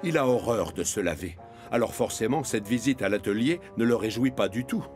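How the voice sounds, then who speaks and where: A man narrating a children's cartoon as an expressive storyteller, in a scripted storybook style. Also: on the low side, a little fast, faint music behind.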